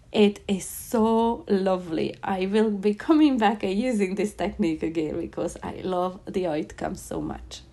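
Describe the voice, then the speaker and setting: A woman's voice: medium pitch, darkish tone. A woman with a stuffy, nasal voice from a head cold, chattily narrating a craft demonstration as a tutorial host. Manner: chatty, enthusiastic, self-correcting.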